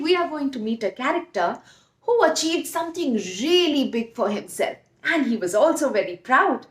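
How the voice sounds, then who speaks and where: A woman telling a story to young children, expressive and speaking directly to her listeners. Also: high-pitched. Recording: roomy echo.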